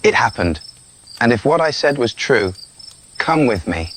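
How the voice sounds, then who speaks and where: A man's voice: mid-range voice, big pitch swings, a little slow, fairly bright tone, slight background noise. A man with a British accent playing a restless, rebellious young prince in an intimate, sardonic audio roleplay. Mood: bitter, hurt. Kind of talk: scripted audio roleplay addressed to the listener.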